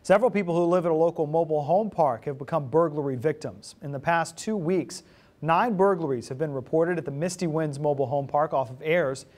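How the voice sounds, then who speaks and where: A man's voice: on the high side, brisk, darkish tone. An American man in his thirties reading scripted news as a TV anchor. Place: TV news studio. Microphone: lapel mic.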